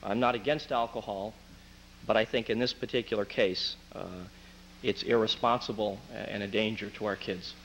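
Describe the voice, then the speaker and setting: A hoarse man in his thirties, a physician, speaking at a town council public comment from a podium mic, earnest and clearing his throat often. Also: lowish voice, steady tone, normal speed, a little hiss or hum, rough recording.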